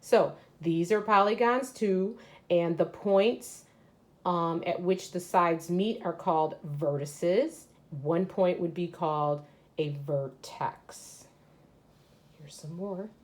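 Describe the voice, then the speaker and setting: A woman's voice: fairly low pitch, slow pace, a little mellow. A woman teacher reading a children's educational book aloud and explaining it to young pupils as she goes. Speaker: third grade teacher.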